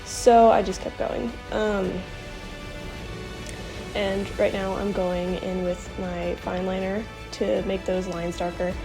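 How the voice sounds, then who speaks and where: A young woman with a stuffy voice from being sick, casually talking through her coloring in a rambling voiceover. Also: on the low side. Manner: relaxed, rambling with many ums. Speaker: art channel host. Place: home room, indoors. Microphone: camera mic, then voiceover.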